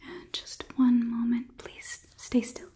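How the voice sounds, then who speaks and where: A young woman as a female robot overlord whispers in an ASMR roleplay, examining a human. The voice is soft and mellow, clinical and gently condescending, delivered close to the microphone. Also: fairly high pitch, monotone, slow pace. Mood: calm, commanding. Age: 20s. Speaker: ASMR performer. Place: small indoor studio set. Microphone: close microphone near camera.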